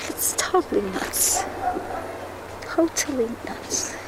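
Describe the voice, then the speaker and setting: A woman being interviewed, chatting casually and quickly, sounding exasperated. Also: heavy background noise. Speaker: settler interviewee. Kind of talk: casual chat.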